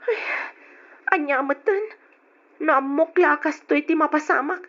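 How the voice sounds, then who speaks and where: An actress playing a young, long-suffering but outspoken wife in an old radio drama, plaintive and reproachful, on a lo-fi recording. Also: female voice, sits high, normal speed, a bit bright. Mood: defensive.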